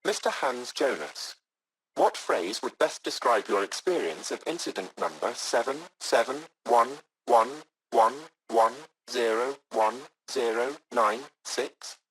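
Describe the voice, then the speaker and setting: Formal, slightly husky male AI assistant. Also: mid-range voice, animated, a bit bright, poor audio. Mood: official, courteous. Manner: formal, measured, scripted customer-service politeness. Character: courteous, formal AI taxi company subroutine, male, unfailingly polite. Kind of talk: video game voice acting.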